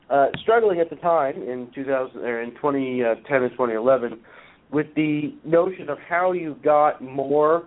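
A man with a hoarse voice from a cold, a guest in an interview, explaining at a measured pace with many filler pauses. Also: mid-range voice. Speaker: guest, nonprofit executive. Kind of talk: conversational interview answers. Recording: phone-line audio.

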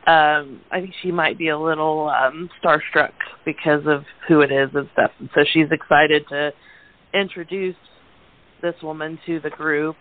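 A woman's voice: low-pitched, steady tone, normal speed. A woman chatting casually as an interview guest, informal and a little hesitant. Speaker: guest, play cast member. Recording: noisy, tinny phone line.

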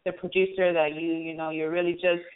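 A woman with a fairly low voice hosts a relaxed, conversational interview. She asks her questions informally. The audio is narrow and tinny, like a telephone line. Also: brisk, clear, resonant tone. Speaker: interviewer, show host.

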